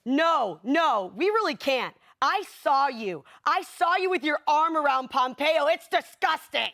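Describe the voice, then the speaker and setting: Brief: woman plays indignant older man, high voice, slow emphatic scolding, mock-dramatic TV reading. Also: expressive, fairly bright tone, full character voice. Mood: indignant disgust. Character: disgusted, indignant older male celebrity in an argument.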